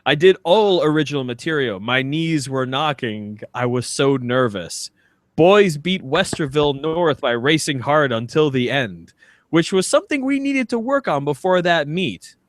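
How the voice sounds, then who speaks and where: Man, podcast co-host, animated pitch, dry close sound, casual comedic chat, rambling amused delivery. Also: moderate pace, dry recording. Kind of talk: casual comedic chat with mock-dramatic readings.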